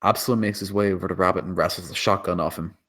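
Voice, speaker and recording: Low-voiced man narrating a screenplay. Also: fairly even intonation, fast, a bit bright. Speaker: stage directions reader.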